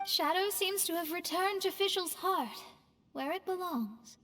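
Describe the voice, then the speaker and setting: A brainy young woman from a fantasy game. Her voice is very high, yet her manner is dry, weary and detached. Faint music plays behind her. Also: animated, a little slow, pushed and bright, full character voice. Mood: detached, musing. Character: wry, weary young woman, intellectual and unimpressed. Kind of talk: video game voice acting.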